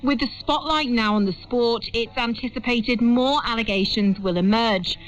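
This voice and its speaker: A woman delivers a scripted news report in a fairly high voice. It comes through a shortwave radio, with muffled, lo-fi sound. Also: moderate pace. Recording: dull shortwave radio broadcast.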